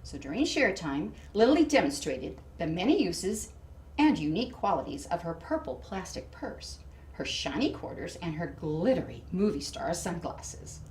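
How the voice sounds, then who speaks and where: A woman reading a children's picture book aloud expressively, with dramatic emphasis and hushed asides to her listeners. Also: on the low side, big pitch swings, normal speed. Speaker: storybook reader. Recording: distant mic, faint background noise.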